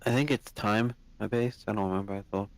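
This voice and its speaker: Deep, creaky-voiced man playing tabletop. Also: steady tone, a little fast, dry recording. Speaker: tabletop game player. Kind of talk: casual game talk. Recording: faintly noisy voice chat mic.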